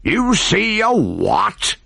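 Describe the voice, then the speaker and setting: Brief: man playing a panicky pirate boss, slow stretched-out high cries, rough edge. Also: sing-song, pushed and bright, no room sound, clean studio sound, full character voice. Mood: frantic. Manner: frantic, wailing, pleading. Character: greedy blustering pirate captain man.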